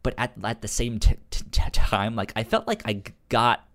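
A man with a fairly low voice chats casually as a podcast co-host. He is halting and informal, often stuttering on sounds and syllables. The recording is very dry, with no room sound. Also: very animated, fairly bright tone, no echo. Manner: loose and informal, halting delivery. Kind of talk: casual comic book discussion.

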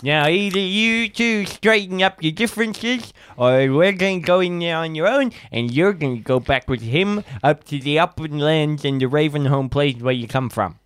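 A man playing a small, big-hearted but bossy wandering adventurer, giving folksy, earnest pep talks with dramatic pauses in an improvised audio drama. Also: on the high side, a bit bright, clean tone, dry recording, pitched above the performer's own voice, close to an everyday voice. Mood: firm, encouraging.

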